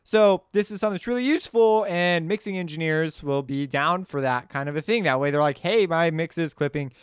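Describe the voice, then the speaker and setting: A man hosting a casual music software tutorial, talking in an informal, rambling way and often correcting himself as he goes. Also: fairly high pitch, fairly quick, smooth, dry recording, studio quality.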